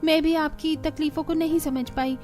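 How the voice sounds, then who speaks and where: Woman acting haughty elder sister-in-law, very high voice, irritable, nagging, cartoon drama, music behind. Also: steady tone, moderate pace, a little mellow, lightly acted. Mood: apologetic.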